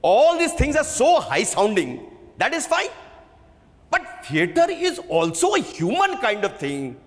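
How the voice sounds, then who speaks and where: Man acting shrill, indignant objector in a hall. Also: wildly swooping pitch, measured pace, full character voice. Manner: protesting, emphatic. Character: indignant, scornful male theatre group member, outspoken. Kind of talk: dramatic book reading. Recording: reverberant hall.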